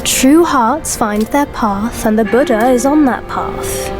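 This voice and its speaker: Childlike little monk, male, high voice, slow riddling delivery, serene, teasing, video game cutscene. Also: loud music behind the voice. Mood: serene, preachy.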